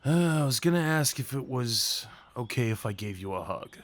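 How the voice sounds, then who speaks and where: Guy playing shy sweet date in an asmr roleplay, medium pitch, nervous n earnest, kinda hesitant, tender. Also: animated, normal speed, close to an everyday voice. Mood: flustered, hesitant. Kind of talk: ASMR roleplay, intimate scripted acting.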